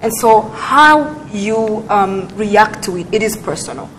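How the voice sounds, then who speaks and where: A woman's voice: medium pitch, lively intonation, a little slow, a bit bright, slight background noise, poor audio. Earnest young woman making an argument. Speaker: panel guest. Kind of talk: panel discussion.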